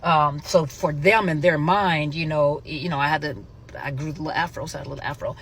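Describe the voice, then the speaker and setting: Chatty woman host, rambling and warm-toned. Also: sits low, steady tone, fast talker, a little hiss or hum. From Germany. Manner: chatty, rambling, many fillers and self-corrections. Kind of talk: casual vlog talk.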